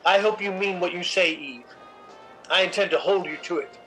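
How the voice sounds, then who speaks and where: Man as cynical theatre critic: fairly high male voice, urbane, dry, controlling, radio-play polish, faint music underneath. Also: normal speed, sharp tone, poor audio. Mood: coolly knowing. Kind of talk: recreated 1950s radio drama acting and narration.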